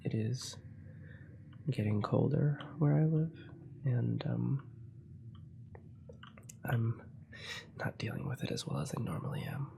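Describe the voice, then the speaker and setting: A young man with a cold, quietly commenting on his gameplay in a soft ASMR style, often slipping into whispers. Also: medium pitch, animated, slow, gravelly, pauses often, background noise. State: has a cold. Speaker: ASMR gaming channel host.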